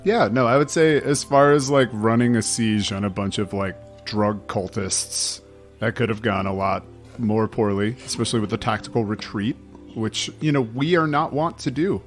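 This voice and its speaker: A man's voice: fairly low pitch, normal speed, faint music behind. A man chatting casually as a podcast panelist, with a dry, wry manner. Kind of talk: casual chat.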